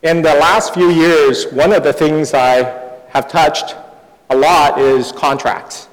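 A middle-aged man from Taiwan who grew up overseas, preaching a sermon in short phrases with pauses for an interpreter. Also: fairly high pitch, steady tone. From born in Taiwan, grew up overseas. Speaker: guest preacher. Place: large reverberant church hall. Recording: echoey hall with long reverb.

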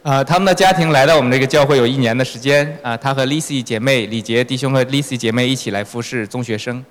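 A man's voice: mid-range voice, quick pace. A man interpreting a sermon phrase by phrase in a church. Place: large reverberant church hall. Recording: echoey hall with long reverb.

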